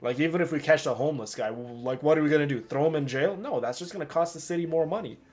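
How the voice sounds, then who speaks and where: A man's voice: a little high, quick pace, darkish tone, faint music behind. A man with a Canadian accent, a live streamer chatting casually and emphatically, repeating himself for stress. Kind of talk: casual livestream chat.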